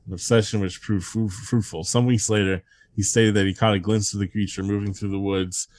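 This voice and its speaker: A man's voice: on the low side, flat delivery, brisk, a little mellow, a little hiss or hum. A man hosting a comedy podcast, reading strange stories aloud and breaking into laughter and asides. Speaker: podcast host reading a countdown list.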